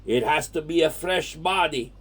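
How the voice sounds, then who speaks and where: A man plays an exasperated old Italian grandfather in a comedy horror audio drama. He lectures and scolds in heavily accented broken English, slipping into Italian, with a fairly high-pitched voice. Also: moderate pace, fairly bright tone, breathy edge. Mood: grave, lecturing. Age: older. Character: hot-tempered old Italian grandfather, exasperated monster-lore teacher.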